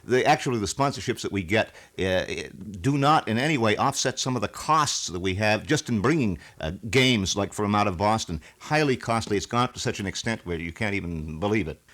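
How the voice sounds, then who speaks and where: Man, middle-aged, low voice, folksy and long-winded, live 1970s radio talk. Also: animated, a little fast, hardly any pauses, fairly bright tone. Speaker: radio talk show host being interviewed. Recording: 1970s local radio broadcast.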